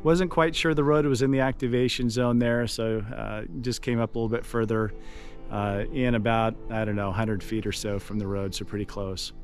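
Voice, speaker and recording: A man's voice: fairly low pitch, steady tone, normal speed, faint music behind. A man speaking as an amateur radio operator out on a summit, appreciative, in casual talk and phonetic-alphabet callsigns. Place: outdoors on a forested summit.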